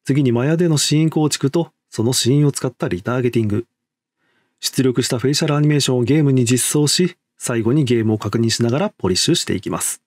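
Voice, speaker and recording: A man's voice: medium pitch, fast talker, a little mellow, no room sound. A man presenting as a lead animator, reading a scripted technical explanation in a formal, polite manner.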